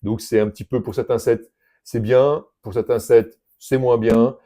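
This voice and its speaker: A man's voice: medium pitch, fairly even intonation, moderate pace, dark tone. A middle-aged man co-hosting a casual card unboxing, joking and rambling with fillers. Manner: jokey, chatty, rambling with fillers. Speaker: shop co-host opening card packs.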